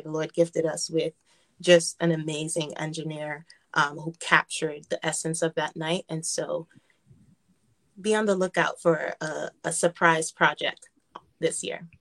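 A woman's voice: deep voice, steady tone, a little slow, fairly bright tone. A woman from the United States speaking earnestly and reflectively as a guest in a conversational interview. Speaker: gospel singer, radio guest.

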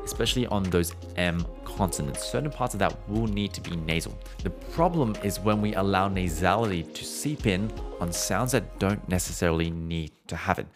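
A male voice teacher with a very low speaking voice talks through a singing lesson in a loose, conversational way. He sometimes breaks off to hum or hold out vowels as demonstrations. Soft music plays faintly underneath. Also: lively intonation, normal speed, hardly any pauses. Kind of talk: explanatory singing lesson, podcast.

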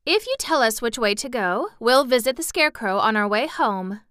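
A woman's voice: a little high, animated, moderate pace, fairly bright tone, smooth, dry recording, studio quality, pitched above the performer's own voice. A woman voicing an earnest, inquisitive little girl in a storybook, eager and questioning. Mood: polite. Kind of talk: storybook character voice.

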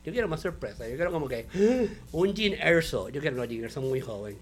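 A man, co-hosting a casual show review chat, animated and mixing in English words. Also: on the high side, a little fast, faint music behind. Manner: animated, mixes English words, laughs often. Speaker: podcast co-host.

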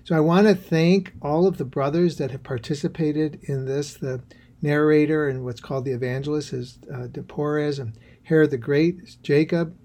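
A man hosts with an informal introduction. His voice is mellow and slightly high, and his phrasing is halting and unhurried. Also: fairly even intonation. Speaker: host introducing a radio play.

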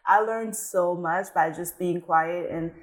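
A woman's voice: on the low side, slow. Upbeat young woman from Guinea. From Guinea, West Africa. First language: Fulani. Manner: upbeat, animated, lots of "like" fillers. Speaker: model and guest. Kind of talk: casual interview chat.

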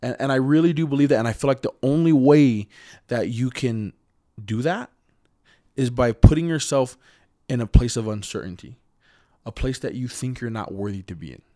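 A man's voice: medium pitch, normal speed, dark tone. A man who stutters, a podcast guest talking earnestly and with emphasis in a casual conversation.

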